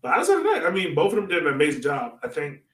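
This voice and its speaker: A man's voice: on the high side, huge pitch swings, rapid-fire. A man's voice sounds roomy and set back from the microphone, with plenty of echo. He talks like a podcast co-host in casual sports chat, telling stories in loose, slangy speech. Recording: roomy echo, distant from mic.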